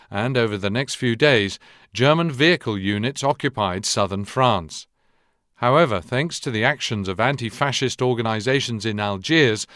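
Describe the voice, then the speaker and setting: A man voicing the narrator of a war game, reading scripted history in a measured, serious, documentary manner. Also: mid-range voice, a bit bright, smooth, no echo, studio quality, close to an everyday voice.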